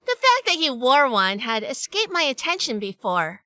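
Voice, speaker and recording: A woman's voice: high voice, sing-song, moderate pace, pushed and bright, dry recording, heavily acted. A woman playing a shrewd, sassy, hardboiled heroine narrating a detective audio drama. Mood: suspenseful. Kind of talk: first-person detective drama acting and narration.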